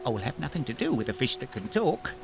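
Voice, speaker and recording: An actor playing a humble, simple fisherman in a fairy tale audio drama, with a theatrical, expressive manner. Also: medium pitch, fast, gravelly, over music. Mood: flustered.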